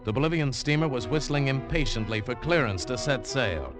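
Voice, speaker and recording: A man's voice: mid-range voice, animated, fairly quick, natural voice. A man playing a world-weary American adventurer who tells his own hard-boiled tale in a 1940s radio drama. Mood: grim, tense. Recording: old 1940s radio broadcast, music underneath.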